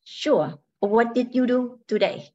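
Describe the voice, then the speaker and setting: A robot wife acted by a woman in a radio drama, unhurried and courteous. She states her need to be human with cool, apologetic logic. The sound is slightly dull and lo-fi. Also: a little high, darkish tone. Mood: politely interested. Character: polite robot wife draining brain cells to become human. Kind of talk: radio play acting.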